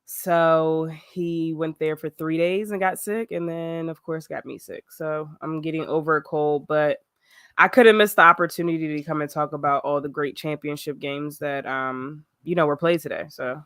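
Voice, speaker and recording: A woman's voice: low-pitched, moderate pace, smooth, no echo, studio quality. A woman with a cold, chatting casually about basketball on a podcast and laughing easily. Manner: laughs easily, relaxed and conversational. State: sick with a cold, voice rough. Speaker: podcast co-host.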